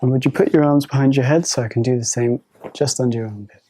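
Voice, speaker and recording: A woman doctor examining a patient, giving soft, polite, reassuring instructions. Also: very deep voice, steady tone, fast talker, a little hiss or hum. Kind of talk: gentle clinical instructions.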